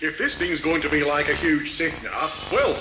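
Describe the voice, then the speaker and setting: A man's voice: on the high side, steady tone, moderate pace, reverberant, heavy background noise, natural voice. A man playing a brash, belligerent, slangy colonist in a science fiction audio drama. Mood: opinionated. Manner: loud, slangy, cocky. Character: brash, belligerent working-class male colonist with a gun.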